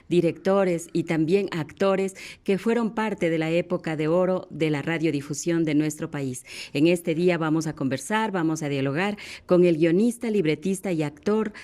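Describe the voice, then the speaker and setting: A woman's voice: sits low, dark tone. A woman with an Ecuadorian accent hosting a radio interview in a formal, courteous, ceremonious way.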